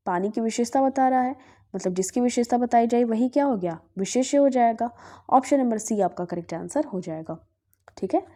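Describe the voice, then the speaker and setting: A woman's voice: fairly high pitch, dark tone. A woman from Bihar teaching Hindi grammar, working through past exam questions and answering her own questions as she explains. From Bihar, India. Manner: asks herself questions and answers them, often says "ठीक है".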